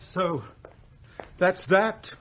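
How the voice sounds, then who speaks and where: An actor playing a forlorn, ineffectual son-in-law in a radio drama, bewildered and hesitant. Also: male voice, high voice, animated, extremely slow, a bit bright, exaggerated voice.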